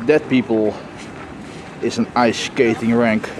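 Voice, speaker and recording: A man's voice: mid-range voice, steady tone, slow pace. A man giving casual, wry vlog commentary on the sights around him, speaking into a handheld camera outdoors. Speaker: vlogger. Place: outdoors on busy city streets. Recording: clear outdoor street and traffic noise.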